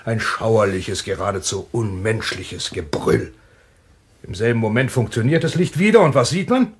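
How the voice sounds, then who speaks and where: An actor playing a boisterous, gluttonous husband telling stories at a party in a radio play. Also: male voice, on the low side, animated, moderate pace, close to an everyday voice. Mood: dramatic storytelling.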